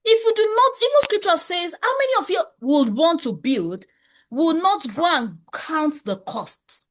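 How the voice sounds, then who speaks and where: A woman's voice: very high voice, sing-song, a little slow, fairly bright tone. A woman leading a motivational money class, speaking emphatically and preachily with many rhetorical questions. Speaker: wealth coach.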